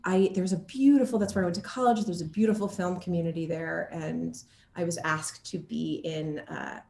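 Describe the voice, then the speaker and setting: An American woman in a casual interview chat, animated and giggly, often acting out her own reactions. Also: lowish voice, normal speed, vocal fry. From Seattle, Washington, USA. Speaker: interview guest, actor. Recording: roomy, echoey sound.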